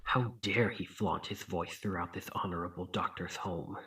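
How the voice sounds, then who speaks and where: A man playing a courteous, protective vampire of the 1820s in an ASMR roleplay, with gentle, old-fashioned formal phrasing. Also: deep voice, steady tone, moderate pace, background noise, close to an everyday voice. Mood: alarmed, hushed.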